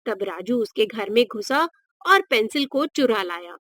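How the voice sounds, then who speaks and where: A woman's voice: a little high, animated, unhurried, fairly bright tone, dry recording, studio quality. A woman narrating a scripted moral story for children as a storyteller.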